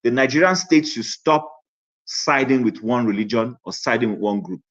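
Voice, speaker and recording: Male caller, measured, hesitant fillers, mid pitch, muffled lo-fi audio. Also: expressive, a bit bright. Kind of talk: call-in contribution. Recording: lo-fi online call audio.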